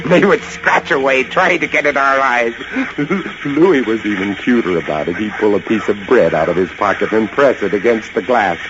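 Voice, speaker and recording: An actor playing a lighthouse keeper, narrating a horror tale with dramatic, breathless intensity and hesitant stammers in old-time radio style. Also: male voice, on the low side, expressive, normal speed, few pauses, noisy background, lightly acted. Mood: uneasy recollection. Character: male lighthouse keeper recounting a terrifying rat siege. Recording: dull old radio recording under loud music.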